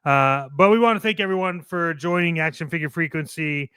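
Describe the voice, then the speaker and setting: Bright, clear male show co-host. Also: fairly high pitch, a little fast, no room sound, studio quality. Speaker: co-host of a toy collectors' show. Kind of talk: casual show banter with promotional shout-outs.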